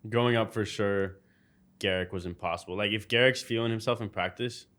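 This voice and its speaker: A man's voice: fairly low pitch, steady tone, brisk, fairly bright tone. A young man whose first language is Russian, a podcast guest answering in a laid-back way that trails off. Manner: laid-back, trails off, bursts into laughter telling stories. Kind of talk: casual podcast interview.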